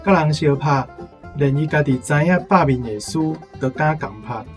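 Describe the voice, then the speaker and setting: A man's voice: fairly high pitch, moderate pace. A man with a Taiwanese accent, a language teacher giving explanatory commentary off the cuff. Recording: music playing behind the voice. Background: instrumental music.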